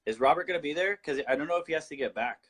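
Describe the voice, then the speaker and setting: A man's voice: fairly high pitch, very fast, fairly bright tone. A man from Colombia, a guest in a casual talk-show discussion, explaining his views earnestly and often restarting his sentences.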